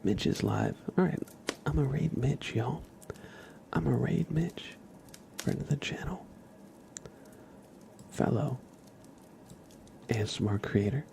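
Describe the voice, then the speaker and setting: A man hosting a casual ASMR livestream, speaking softly and informally, often slipping into a breathy whisper. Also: on the low side, a little hiss or hum. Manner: relaxed, informal, uses y'all. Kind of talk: casual ASMR stream chat.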